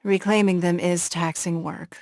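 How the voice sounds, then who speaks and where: A poised, shrewd noblewoman leader from a fantasy game. She speaks in a measured, formal and composed way, with a slightly low voice. The recording is dry and close, with no room sound. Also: steady tone, vocal fry, darkish tone, no room sound. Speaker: game character. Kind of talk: game cutscene voice acting.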